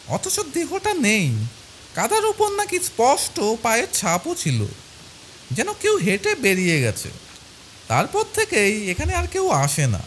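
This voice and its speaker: An actor plays a frightened young friend in an animated ghost story. The delivery is lively, with wide swings of pitch. Music runs behind the dialogue. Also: slight background noise. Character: two young male friends, frightened and uneasy.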